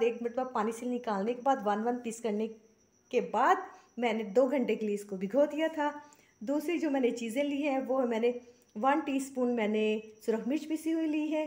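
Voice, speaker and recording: A woman's voice: high voice, darkish tone. A woman, hosting a cooking channel and explaining a recipe step by step in a homely, chatty way, sprinkled with blessings. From Pakistan. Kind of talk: casual cooking tutorial voice-over. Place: home kitchen.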